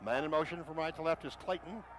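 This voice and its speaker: A man calling a football game play by play for radio, rising in pitch and volume on big plays. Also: quick pace. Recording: noisy stadium broadcast.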